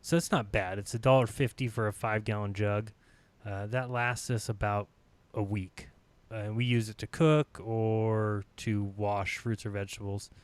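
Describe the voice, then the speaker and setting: A man's voice: fairly low pitch, steady tone, normal speed, clean tone, no room sound. A man hosting a live online panel chat, upbeat and chatty, laughing often, and feeling like he is losing his voice. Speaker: host.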